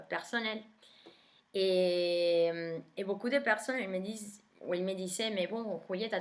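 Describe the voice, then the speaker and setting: A young adult woman with a Spanish-speaking Argentine accent talks in French for her tarot video. Her voice sits a little low, and she pauses often, restarting phrases with many fillers. The tone is casual and conversational. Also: a bit bright. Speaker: tarot reader. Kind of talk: casual spoken video introduction.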